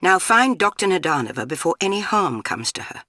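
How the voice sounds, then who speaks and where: An actress playing a stern older British spy chief in a video game, clipped, commanding and dryly disapproving. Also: female voice, lowish voice, sing-song, a little fast, bright, forceful tone, a little hiss or hum.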